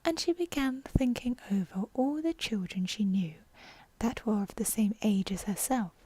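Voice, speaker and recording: A woman narrating a bedtime audiobook in soft ASMR style, breathy and whispered, close to the microphone. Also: fairly high pitch, moderate pace, no room sound. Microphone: close, dry microphone.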